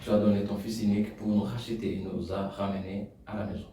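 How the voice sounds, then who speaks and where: A man's voice: lowish voice, little pitch movement, fast talker, slight background noise. Man preaching in echoey hall. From Rwanda. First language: Kinyarwanda. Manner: rises loud and emphatic on key points, drops soft in prayer. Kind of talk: sermon. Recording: echoey room, distant mic.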